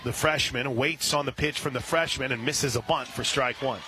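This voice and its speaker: A man with a medium-pitched voice does live softball play-by-play for radio. He describes each pitch and chats in detail between them. Clear outdoor ballpark noise sits behind his voice. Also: fairly even intonation, normal speed. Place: outdoor softball stadium broadcast position.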